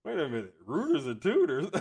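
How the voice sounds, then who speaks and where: A man hosting a podcast interview in a relaxed, casual way, laughing often. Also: a little high. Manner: laid-back, laughs often, says y'all. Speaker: podcast host.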